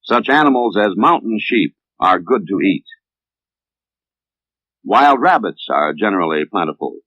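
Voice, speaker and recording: An American man narrating a scripted 1950s instructional training film in a measured, didactic, formal manner, on an old film soundtrack. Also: lowish voice, big pitch swings, fairly bright tone, no echo. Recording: tinny, band-limited 1950s film soundtrack.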